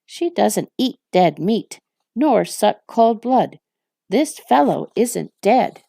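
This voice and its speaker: A woman's voice: medium pitch, very animated, takes it slowly, vocal fry, warm tone, no echo, studio quality, exaggerated voice. A woman voicing an irritable, growling male orc captain from a fantasy storybook, blustering and snarling. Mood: angry, scornful.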